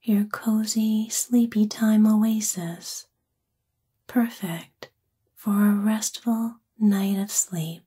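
A woman with a soft, breathy, whispery voice gently and soothingly guides a sleep meditation in an ASMR-like style. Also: mid-range voice, steady tone. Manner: gentle, soothing, unhurried phrasing.

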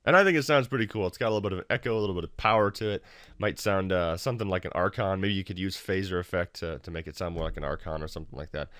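A man with a fairly low voice explains things casually, as in a homemade screen tutorial. He is informal and self-deprecating, recorded close and dry in a home office. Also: racing, fairly bright tone, clean tone, dry recording. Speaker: tutorial host.

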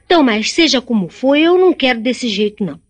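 A woman's voice: fairly high pitch, animated, fast talker. A woman playing a stubborn, teasing young rural daughter in a radio drama, with a Brazilian accent. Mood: stubborn, exasperated.

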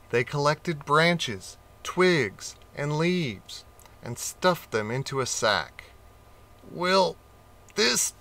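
A man reading a children's picture book aloud, slowly and expressively. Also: a little high. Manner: slow, expressive reading with character voices. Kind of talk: storybook read-aloud.

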